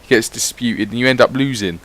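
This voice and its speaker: A man's voice: mid-range voice, brisk, a bit bright. A man giving casual, rambling voice-over commentary on his gameplay, swearing freely as he chats. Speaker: gaming YouTuber.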